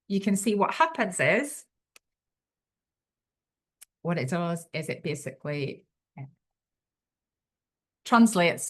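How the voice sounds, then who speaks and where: A woman with a Belfast accent giving a live online tutorial, talking in an enthusiastic, chatty and unpolished way over a computer microphone. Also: mid-range voice. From Northern Ireland. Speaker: webinar presenter.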